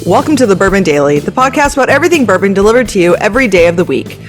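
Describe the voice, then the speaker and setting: A woman hosting a podcast, reading the show's introduction and welcoming guests at a brisk pace. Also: mid-range voice, expressive, over music. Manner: bright, fast announcer delivery. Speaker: podcast host. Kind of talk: scripted show intro.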